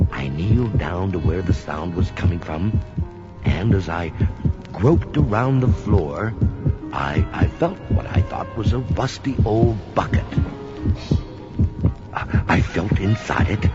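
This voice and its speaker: An actor playing a haunted, melodramatic man who tells a ghoulish horror tale with theatrical pauses and repetitions. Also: low-pitched, expressive. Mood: suspenseful. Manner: theatrical, halting repetitions for suspense. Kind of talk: dramatic horror storytelling. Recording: dull recording under loud music.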